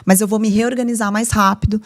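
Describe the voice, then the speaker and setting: A woman, a doctor hosting a podcast, explaining things to her guest in a warm, advising way. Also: medium pitch, fairly even intonation, fairly quick. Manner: warm, explanatory, uses vivid comparisons. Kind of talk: interview host, advising.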